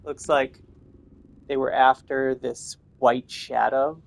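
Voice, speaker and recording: A man's voice: mid-range voice, flat delivery, slow, gravelly. A man giving casual gameplay commentary as host, thinking aloud and speculating as he goes. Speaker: gamer host of a gameplay video.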